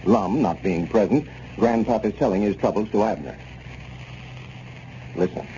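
A man's voice: fairly low pitch, measured pace, warm tone. An American man announcing and narrating from a script in 1930s radio style. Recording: muffled, noisy 1930s radio recording.

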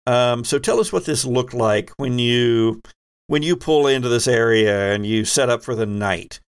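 Man with creaky voice running a game. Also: mid-range voice, moderate pace. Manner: conversational, guiding players with questions. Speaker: game master and podcast host. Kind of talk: running a tabletop roleplaying game.

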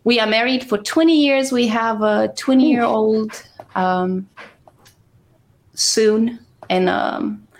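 A woman's voice: a little high, slow pace, pauses often. Woman, 40s, Romanian accent, easygoing storyteller who laughs a lot, conversational guest read. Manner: chatty storytelling with frequent laughs. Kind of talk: casual conversation.

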